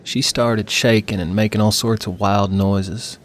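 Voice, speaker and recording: Audiobook role: man playing a silver-haired country woman, folksy and God-fearing, voice lifted higher. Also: fairly even intonation, measured pace, slight background noise, close to an everyday voice. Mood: shaken, worried. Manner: folksy, rustic speech.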